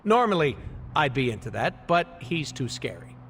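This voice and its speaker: An actor playing a cocky, irreverent mercenary antihero in a video game, with a jokey, sarcastic, theatrical delivery. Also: male voice, fairly high pitch, big pitch swings, moderate pace, a bit bright, a little hiss or hum. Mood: cocky, joking. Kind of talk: video game cutscene acting.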